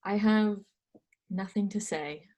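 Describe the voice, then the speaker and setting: A woman playing a guarded old male astronomer and churchman in a stage play reading, terse, wary and dismissive, with a husky voice. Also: mid-range voice, takes it slowly, darkish tone. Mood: weary, guarded. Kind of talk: readers theatre performance of a history play.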